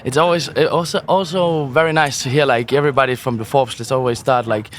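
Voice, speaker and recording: A man's voice: mid-range voice, steady tone, fast talker, fairly bright tone. Upbeat stumbling male interviewer. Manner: stumbling, upbeat, laughs often. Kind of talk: casual interview questions.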